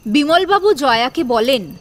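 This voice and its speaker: A woman narrating a scripted domestic drama as a storyteller, with an expressive, dramatic delivery. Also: sits high, a little slow. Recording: music behind the voice.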